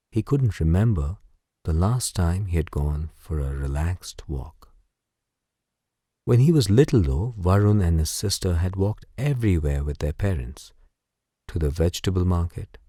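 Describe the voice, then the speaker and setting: A man narrating a bedtime story as guided sleep meditation in a soothing, gentle, unhurried way. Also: low voice, no echo, studio quality.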